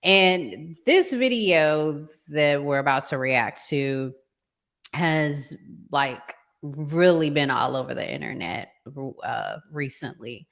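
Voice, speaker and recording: Female podcast host, American accent, slightly low voice, lively intonation, conversational delivery. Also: slow pace. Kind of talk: casual discussion of relationship topics.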